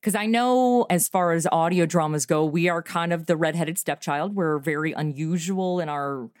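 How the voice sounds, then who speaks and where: Lower-voiced middle-aged woman chatting. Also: normal speed, a little mellow, no echo, clean studio sound. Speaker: guest, audio comedy writer and performer. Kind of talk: casual interview chat.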